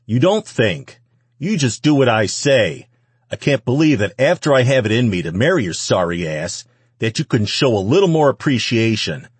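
Man acting out a snarling, shouting abusive husband. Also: mid-range voice, big pitch swings, normal speed, slightly rough, no room sound, voice pushed up. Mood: enraged, menacing.

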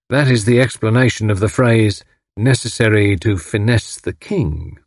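A man voicing a solemn police inspector in an audiobook, speaking in a courteous, firm, measured and official way. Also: medium pitch, dry recording, clean studio sound, voice pushed up. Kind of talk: audiobook character acting.

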